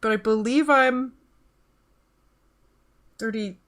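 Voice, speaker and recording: A woman's voice: on the high side, unhurried, fairly bright tone. A woman in her thirties chatting casually on a stream while she works, rambling and swearing offhandedly as she reads out the chat. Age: 30s.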